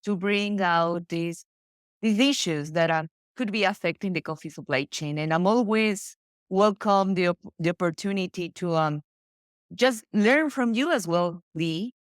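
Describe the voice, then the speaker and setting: Lowish, smooth-voiced woman from Ecuador. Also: a little slow, no room sound, clean studio sound. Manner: careful, hesitant, with many fillers. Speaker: coffee sourcing consultant, guest. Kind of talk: interview answers.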